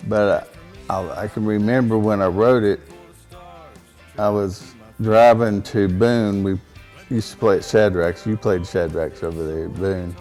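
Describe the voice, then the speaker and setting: A man with a baritone voice, speaking as a songwriter guest in a casual interview, plainspoken and rambling with frequent fillers and restarts. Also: a little mellow.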